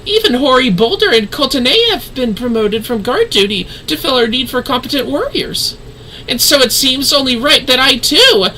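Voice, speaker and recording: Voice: mid-range voice, sharp tone, a little hiss or hum, pitched above the performer's own voice, low-quality recording. A woman voicing an eager, earnest tiny young receptionist from a fantasy game, with formal yet bubbly delivery.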